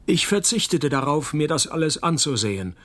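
An actor playing a wry, cynical private detective who narrates a radio play with dry, deadpan, laconic delivery and a husky voice. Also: male voice, fairly high pitch, normal speed. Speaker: detective and first-person narrator.

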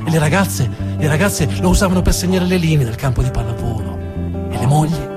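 A man narrates a scripted theatrical monologue in a deliberate way, with weighty pauses and repeated phrases. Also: fairly high pitch. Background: guitar music.

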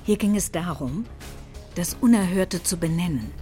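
A confident nationalist politician played by an actress in a political radio play. The woman's voice is on the low side, solemn and declarative. Soft music is faintly audible behind it. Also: lively intonation, moderate pace, lightly acted. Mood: grave. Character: confident nationalist politician proclaiming a new Europe. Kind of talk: staged recitation of political speeches.

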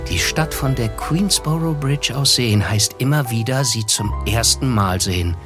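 An actor playing a sceptical, observant narrator in a radio drama, recalling events in a literary, reflective and dryly ironic way. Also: male voice, mid-range voice, normal speed, lightly acted. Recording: studio radio play with music underneath.

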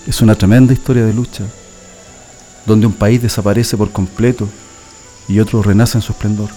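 A man's voice: fairly low pitch, level tone, normal speed, warm tone, faint music behind, lightly acted. An actor playing a proud, nostalgic political prisoner in a radio drama, telling his story reflectively with a Chilean accent. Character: male political prisoner, proud nostalgic communist militant, immigrant's son.

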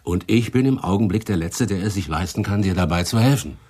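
An actor playing a world-weary, wry private detective, narrating and acting in a hard-boiled radio drama with laconic, dry delivery. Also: male voice, sits low, expressive, a little fast, darkish tone, breathy edge. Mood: wry, wary. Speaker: narrator and lead character.

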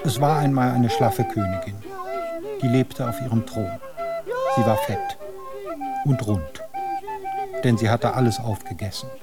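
An actor playing a storyteller, narrating an absurd fairy tale in a radio play with a wry, measured manner. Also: male voice, medium pitch, huge pitch swings, over loud music. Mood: wry storytelling.

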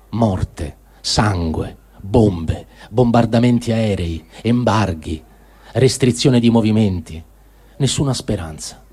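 A man reads a first-person diary in speech, in measured, deliberate phrases, as a dramatic radio reading. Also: fairly low pitch, a little mellow, breathy edge. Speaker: reader of written testimony on radio.

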